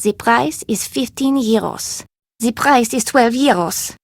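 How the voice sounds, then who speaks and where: A proud woman selling curios at a market in a video game. Her voice is fairly high and recorded dry. She haggles stubbornly in formal, foreign-sounding phrases. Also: slow pace, creaky, no room sound, full character voice. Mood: proud, insistent. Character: proud, stubborn female street vendor of curios. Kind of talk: video game character dialogue.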